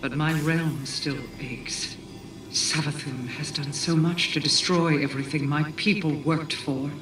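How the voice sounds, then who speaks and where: A woman's voice: low-pitched, steady tone, measured pace, background noise. An actress playing a regal, sorrowful, embittered queen in a video game, speaking solemnly and formally. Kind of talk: dramatic video game monologue. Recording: distant, echoing, with music behind.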